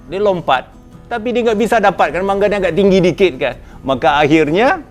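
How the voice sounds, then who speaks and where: A man with a Malay accent hosting a casual motivational business talk, chatting with frequent fillers and sudden emphatic rises. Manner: conversational, with frequent fillers and pitch jumps for emphasis. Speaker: host. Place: indoor room with a small group present.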